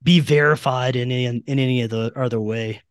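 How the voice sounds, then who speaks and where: A man's voice: medium pitch, steady tone, brisk, smooth, dry recording, clean studio sound. A man from Louisiana talking casually as a guest on a podcast.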